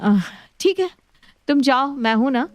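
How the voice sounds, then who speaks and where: A woman's voice: high-pitched, animated, unhurried, no room sound, soft background music, pitched above the performer's own voice. Breezy confident modern sister-in-law played by a woman. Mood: friendly. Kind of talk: story dialogue.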